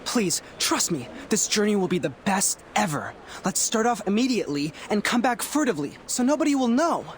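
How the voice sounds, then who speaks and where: A man's voice: high-pitched, sing-song, fairly quick, few pauses, a little hiss or hum. An actor playing a chatty, eager young man in a video game, with a theatrical, persuasive manner. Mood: eager, coaxing.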